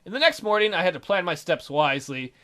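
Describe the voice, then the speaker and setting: A man's voice: sits high, big pitch swings, fast talker, sharp tone. A man doing casual game commentary and reading the on-screen story aloud, with a joking, sarcastic manner. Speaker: let's player.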